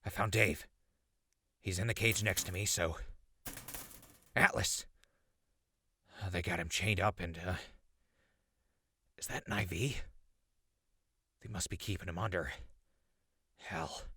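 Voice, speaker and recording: An actor playing a rattled captive survivor in a post-apocalyptic audio drama, muttering halting notes into his recorder in a gravelly, husky voice. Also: low-pitched, steady tone, sharp tone, no echo, clean studio sound, heavily acted. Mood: urgent. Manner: halting, muttering to himself, exclaiming.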